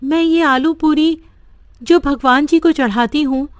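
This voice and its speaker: A woman's voice: high-pitched, measured pace, dry recording, pitched above the performer's own voice. A woman voicing a kind, modest village widow and mother in a cartoon story. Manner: modest, gentle.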